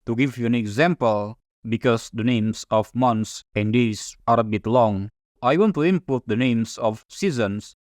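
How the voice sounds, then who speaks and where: A man whose first language is Indonesian, calmly narrating a step-by-step software tutorial over a screen recording. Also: mid-range voice, unhurried, dry recording, clean studio sound. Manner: calm, methodical step-by-step explaining.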